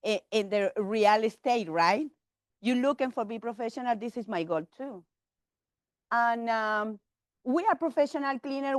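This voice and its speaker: Brief: middle-aged Chilean woman, Spanish accent, halting English, somewhat high voice, dry sound. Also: dry recording. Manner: halting broken English, chatty, laughs often. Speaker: vendor presenting her business. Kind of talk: informal pitch to a meeting.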